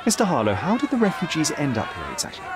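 An actor playing a self-assured young detective in a video game, articulate, polite but pointed and persuasive. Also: male voice, fairly high pitch, huge pitch swings, quick pace, over a noisy crowd, very noisy. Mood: probing. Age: young adult. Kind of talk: video game cutscene acting.

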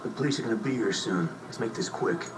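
A man's voice: lowish voice, expressive, fast, natural voice. An actor playing a brash, impatient male soldier, talking brusquely. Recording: game audio from a distant TV speaker, noisy.

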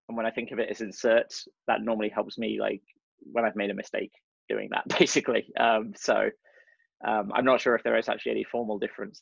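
A man talks through a programming lesson over a video call, pausing on frequent ums and correcting himself. His voice is low and gravelly, going a bit croaky. The call audio is dry and muffled. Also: no echo. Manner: hesitant, many ums, self-correcting. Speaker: tutorial presenter. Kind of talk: casual live coding lesson on a video call. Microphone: video call microphone.

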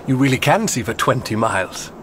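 A man's voice: medium pitch, very animated, brisk, background noise, lightly acted. An actor playing an earnest, bewildered, upright young man in a 1920s radio drama. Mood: puzzled.

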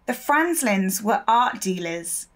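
An actress playing a persistent, shrewd newspaper reporter in an audio drama, speaking confidently and articulately. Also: female voice, mid-range voice, big pitch swings, slow pace, fairly bright tone. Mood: assured, methodical.

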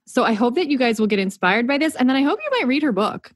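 A woman's voice: sits high, lively intonation, quick pace, dry recording, studio quality. A woman co-hosting a podcast, introducing the guest in an upbeat, chatty way. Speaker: podcast co-host.

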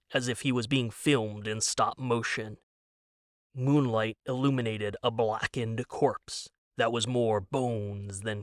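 A man narrating a scripted story as a dramatic audiobook, with deliberate, suspenseful delivery. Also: mid-range voice, fairly bright tone, no room sound, studio quality.

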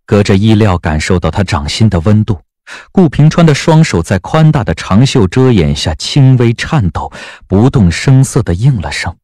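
A man's voice: fairly low pitch, sing-song, moderate pace, warm tone, no room sound. A man narrating a scripted audio drama in a dramatic storytelling style. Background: background music.

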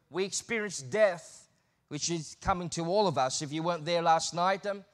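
A man's voice: sits high, a bit bright. A man in his thirties with an Australian accent, a guest preacher giving a sermon from a church pulpit. He moves from easy, conversational talk into emphatic preaching. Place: church stage behind a pulpit. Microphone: pulpit microphone.

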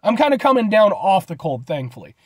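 A man's voice: high-pitched, fairly quick, dry recording. A man in his thirties, a little stuffed up from a cold, rambling through a casual food review vlog from his parked car, talking into a phone held at arm's length. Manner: rambling, animated, gestures a lot. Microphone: phone camera at arm's length.

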